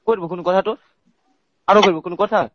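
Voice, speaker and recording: Muffled, very fast high-voiced man arguing. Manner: blunt, confrontational. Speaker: husband on a phone call. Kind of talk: heated personal phone argument. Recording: muffled noisy recorded phone call.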